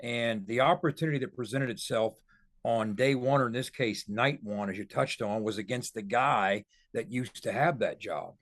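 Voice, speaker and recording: A man breaks down football in a voice of average pitch. He is conversational but emphatic, laying out each point deliberately like a podcast analyst. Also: a bit bright.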